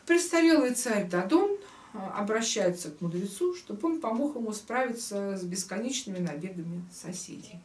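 An older woman in her sixties giving an explanatory literary lecture and reading aloud expressively, with hesitant pauses. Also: mid-range voice, expressive, a little mellow, slight background noise. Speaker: lecturer, writer. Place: living room at home. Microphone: camera a few metres away. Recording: roomy echo, distant microphone.

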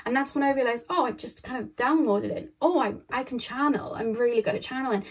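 A young woman giving a casual tarot reading, rambling and repeating herself, her voice a little croaky. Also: fairly high pitch, fairly quick. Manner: chatty and rambling, repeats phrases, many fillers. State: slightly croaky voice. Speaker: tarot card reader. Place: indoors at a table, camera overhead.

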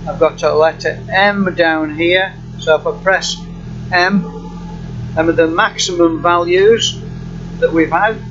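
A man with a slightly high voice talks through a software demonstration informally and without a script. He is matter-of-fact and a little halting. The recording is muffled, with a loud engine hum behind him. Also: steady tone. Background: running car engine hum.

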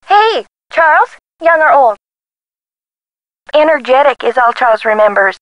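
An actress playing a helpful but bureaucratic records clerk in a video game, with an officious, matter-of-fact phone manner. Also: female voice, high-pitched, big pitch swings, measured pace, dry recording, dull audio.

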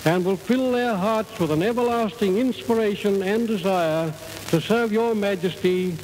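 High-voiced man making a formal address. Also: moderate pace, a little mellow, low-quality recording. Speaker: education official giving an address. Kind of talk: formal ceremonial speech. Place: outdoor public ceremony. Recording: hissy 1950s 78rpm record.

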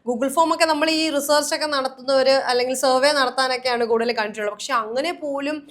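A woman's voice: sits high, steady tone, brisk, a bit bright. A woman hosting a conversational news discussion, wordy and often rephrasing her points.

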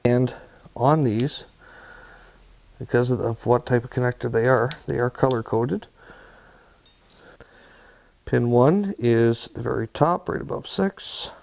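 A man giving hands-on instruction in a workshop, talking viewers through his work in a relaxed, practical way while he works at the bench. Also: mid-range voice, slow pace, gravelly, long pauses. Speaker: aircraft builder demonstrating.

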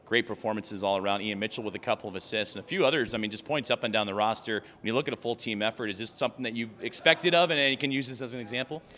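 A man's voice: on the low side, racing, hardly any pauses, sharp tone. A man interviewing a coach after a hockey game, asking long, upbeat questions packed with stats and player names. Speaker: team broadcaster interviewer. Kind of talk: sports postgame interview.

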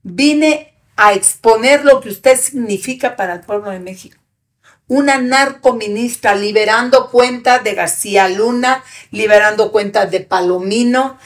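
A woman in her eighties talking as a podcast guest, ranting in a fiery, indignant and profane way, close to a studio microphone. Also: medium pitch. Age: 80s. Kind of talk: heated interview talk. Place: small studio with brick wall and sofa. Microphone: podcast mic on a stand in front.

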